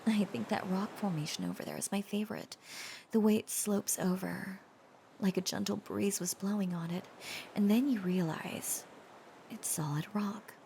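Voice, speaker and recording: A woman playing a tender, protective young dragon-descended girlfriend in an intimate romantic audio roleplay, speaking gently and hesitantly in a breathy, often whispered voice. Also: medium pitch, fairly even intonation, normal speed, slight background noise, natural voice. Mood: soft wonder. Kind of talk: intimate roleplay audio drama, softly acted.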